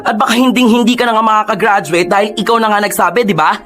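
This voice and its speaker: A man's voice: sits high, fairly even intonation, quick pace, bright, forceful tone. A man acting as a moneyed, bitter and guilt-ridden drinking lover in a dramatic, emotionally heavy Filipino radio drama style. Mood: bitter, scornful. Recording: music behind the voice.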